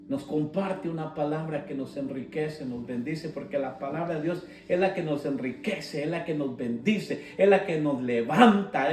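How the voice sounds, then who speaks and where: A man preaching a sermon. He speaks with slow emphasis and breaks into fervent shouted calls. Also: on the high side. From Guatemala. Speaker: pastor. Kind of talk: live-streamed sermon. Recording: roomy sound, mic at a distance, soft guitar.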